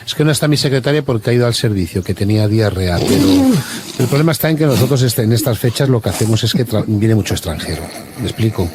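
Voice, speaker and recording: A man's voice: fairly low pitch, animated, fast, noisy background, lightly acted. A man with an accent from Spain playing a pushy, evasive campsite owner on a prank phone call, formal and repetitive. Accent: Spanish, from Spain.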